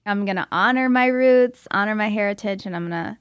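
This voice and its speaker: A woman from Belgium chats as an interview guest in a casual, storytelling style. Her pitch sits in the middle range for a woman. The sound is dry, and her talk is informal and sprinkled with "like". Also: brisk, clean tone, no echo. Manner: informal and chatty, frequent "like". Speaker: guest, model and entrepreneur.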